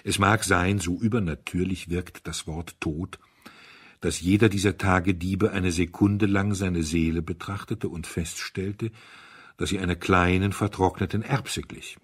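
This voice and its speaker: A man's voice: sits low, fairly even intonation, a little slow, husky. A man narrating a story as an audiobook reader, in a dramatic scripted style.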